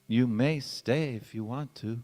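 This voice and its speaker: A man playing a gentle, polite, wistful father in a radio drama, speaking in a measured way. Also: medium pitch, natural voice. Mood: tender, wistful. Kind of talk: stage radio drama acting. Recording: echoey church hall.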